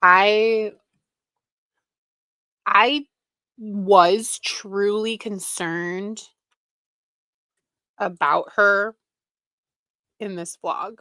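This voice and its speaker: A woman's voice: medium pitch, steady tone, drawn-out words, pauses often, sharp tone, dry recording. A woman giving casual, wry reaction commentary on a video, with critical asides. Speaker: reaction streamer.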